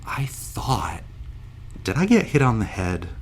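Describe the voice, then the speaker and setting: A man's voice: fairly low pitch, very animated, slow pace, a little hiss or hum. A man playing a dazed, wisecracking starship officer in an audio drama, blunt and sardonic. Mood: confused, blunt. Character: dazed, wisecracking male starship officer waking from long stasis.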